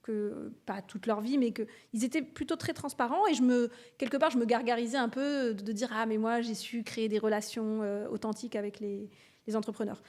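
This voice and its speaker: Higher-pitched woman hosting a chat. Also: fairly even intonation, fairly quick, a little mellow. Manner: conversational, frequent listening murmurs, thinks aloud. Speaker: podcast host and executive coach. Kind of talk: casual podcast interview.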